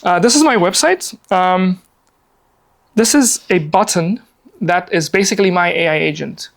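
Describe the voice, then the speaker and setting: A man's voice: high-pitched, measured pace, creaky. Upbeat man from Afghanistan presenting. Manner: chatty, upbeat, many fillers like "alrighty". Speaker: workshop presenter. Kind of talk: casual live presentation. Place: meeting room, seated at a laptop.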